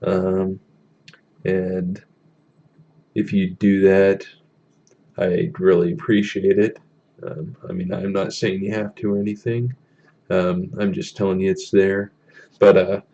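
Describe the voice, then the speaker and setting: A young man with a deep voice chatting casually on a live stream from a small room, halting with many ums as he reads the chat. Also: a little mellow, dull audio. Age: young adult.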